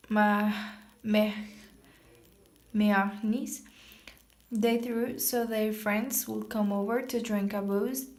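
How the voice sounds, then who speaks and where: A woman reads out a blunt man who mocks his passenger, in a flat, level voice with little rise or fall. The reading halts and restarts over hard words. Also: medium pitch, lightly acted. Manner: halting, self-correcting reading. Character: blunt, mocking adult man driver. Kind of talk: reading a novel aloud.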